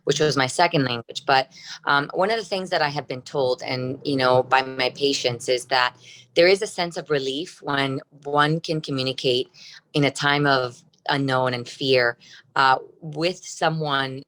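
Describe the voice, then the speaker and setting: A Cuban-born woman whose first language is Spanish speaks in a low, earnest voice. She answers interview questions as a surgeon and explains with analogies. She sounds middle-aged. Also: unhurried, fairly bright tone, poor audio. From Cuba. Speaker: breast surgeon.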